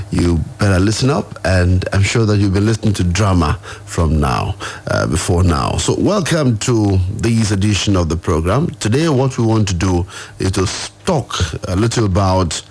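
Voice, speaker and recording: A man's voice: low voice, gravelly, darkish tone, breathy edge. A Nigerian man hosting a live radio talk show from a studio, speaking conversationally and putting questions straight to his listeners. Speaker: radio host.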